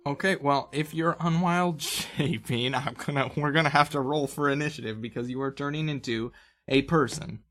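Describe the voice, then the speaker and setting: A man's voice: on the high side, fairly bright tone. Man running a tabletop game over music. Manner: informal, halting, thinking aloud. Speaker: game master. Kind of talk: casual tabletop game narration. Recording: music behind the voice. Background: game soundtrack music.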